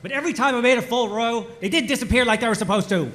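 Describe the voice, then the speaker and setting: A man playing a dim-witted, childlike, innocent soldier with a simple, literal manner, in a comedy table read of an animated series. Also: very high voice, rapid-fire, very noisy.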